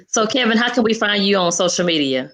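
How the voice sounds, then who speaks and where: A woman's voice: mid-range voice, lively intonation, a little fast, a bit bright. A woman hosting a casual interview, speaking as the show's host. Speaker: show host.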